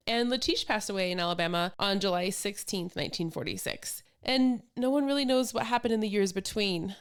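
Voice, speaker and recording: A woman's voice: medium pitch, smooth. A woman hosting a casual podcast, telling stories from old news items in a chatty way with amused asides. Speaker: podcast host.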